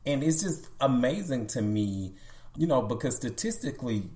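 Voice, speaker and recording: A man co-hosting a podcast, asking interview questions in an earnest, measured way. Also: mid-range voice, very animated, darkish tone. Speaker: podcast co-host.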